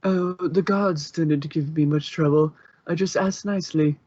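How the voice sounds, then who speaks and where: A man reads as a female alicorn princess in a fanfiction story, polite and a little sheepish. The delivery is courteous and hesitant, in a fairly high voice. The recording is slightly dull. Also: steady tone, unhurried, a little mellow, breathy edge, dry recording. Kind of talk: character voice in a fanfiction reading.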